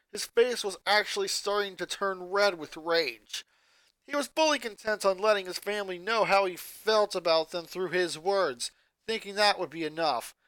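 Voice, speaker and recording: A man reading a fan story aloud with dramatic build-ups. His voice sits high and bright, and the close mic is bone dry. He narrates the action with plenty of drive. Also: clear, resonant tone, no echo, poor audio. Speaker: fanfic reader.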